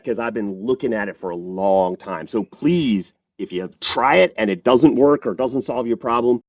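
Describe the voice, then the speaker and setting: A man as a guest on a tech podcast, talking in an animated, emphatic way. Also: lowish voice, dry recording, distant mic, dull audio. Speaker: podcast guest, software developer. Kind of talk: casual interview chat.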